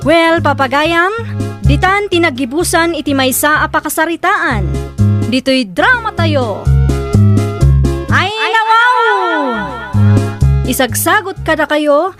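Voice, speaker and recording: A woman hosting and narrating an audio drama channel, talking in a slow, sing-song way with a catchphrase. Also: very high voice, lively intonation, loud music behind the voice. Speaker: host and narrator. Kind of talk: radio drama host outro.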